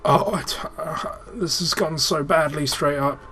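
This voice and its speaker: A man's voice: on the high side, unhurried, pushed and bright, slight background noise. A man giving casual, informal gaming commentary as a let's play host, swearing freely and explaining technical details.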